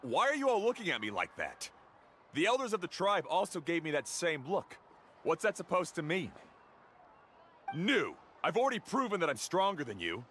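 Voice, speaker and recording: An actor playing a proud, defensive rival warrior in a video game, with a brash, boastful delivery. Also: male voice, on the high side, pushed and bright, slight background noise.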